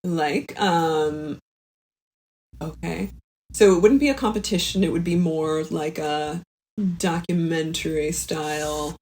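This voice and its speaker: A woman's voice: sits low, slow, darkish tone. A woman comedian chatting casually as a guest on a comedy podcast.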